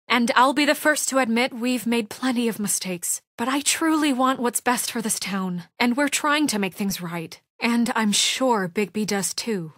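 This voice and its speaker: A woman's voice: on the high side, fairly bright tone, no room sound, studio quality. An actress playing an authoritative, exasperated woman leader in a video game drama, speaking firmly and earnestly. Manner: firm, earnest, insistent, often exasperated. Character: authoritative, exasperated woman leader of a troubled fairy-tale community. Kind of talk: dramatic video game dialogue, naturalistic acting.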